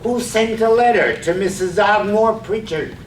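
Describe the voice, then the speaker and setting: A man acting as a lyrical storyteller, narrating village life in a scripted radio play. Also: unhurried. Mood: playful. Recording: echoey, distant microphone, faint rumbling noise.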